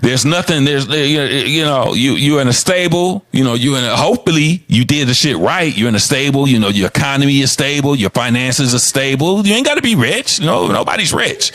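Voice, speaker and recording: Fifties male podcast co-host, swears freely and speaks frankly, with an animated, swinging delivery. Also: medium pitch, fairly quick, hardly any pauses, no room sound. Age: 50s. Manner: blunt and profane, speaks frankly. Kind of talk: casual podcast chat.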